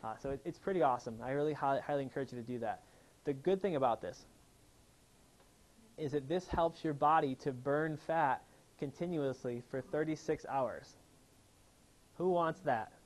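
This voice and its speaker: A man's voice: mid-range voice, normal speed, pauses often. A chiropractor giving an enthusiastic health seminar, asking his audience questions as he talks. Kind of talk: live seminar talk to an audience. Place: room with a live audience.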